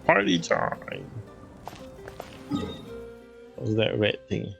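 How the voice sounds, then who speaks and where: A woman game streamer with an extremely low, gravelly voice. She makes terse, playful asides with animated swings in pitch while game music plays. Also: drawn-out words, muffled recording. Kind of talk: casual live gameplay reactions.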